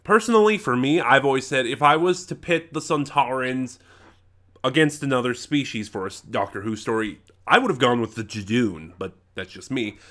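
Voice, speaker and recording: A man with a middling pitch and a bright, forceful tone talks as a casual reviewer. He gives his opinions off the cuff, rambling and halting, often stopping to correct himself. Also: expressive. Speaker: reviewer, channel host. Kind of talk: casual unscripted audio drama review.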